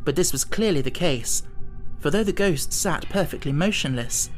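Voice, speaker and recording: A man narrating a literary audiobook in a measured, dramatic storytelling manner. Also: a little high, lively intonation. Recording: echoing reverb, music behind.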